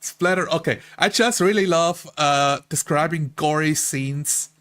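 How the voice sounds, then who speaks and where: Higher-voiced man in informal chat. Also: measured pace, a bit bright. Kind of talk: casual group chat.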